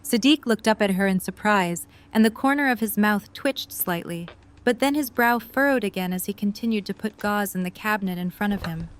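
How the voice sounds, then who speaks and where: Calm woman narrator. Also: medium pitch, brisk. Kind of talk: scripted narration.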